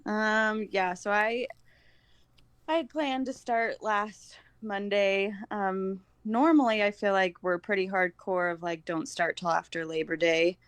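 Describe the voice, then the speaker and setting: A woman's voice: medium pitch, steady tone, measured pace, smooth, no room sound. A woman speaking as a podcast guest in an informal, hesitant chat, often dropping "like" into her sentences. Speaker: podcast guest, homeschooling mother. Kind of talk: casual chat.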